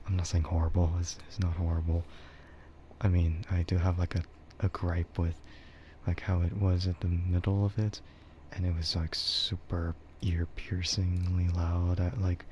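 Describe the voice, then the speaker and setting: A man streaming in a casual, rambling ASMR chat, speaking softly and hesitantly in a meandering way. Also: low voice, completely flat delivery, moderate pace. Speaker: VTuber streamer. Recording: dull lo-fi microphone with faint noise.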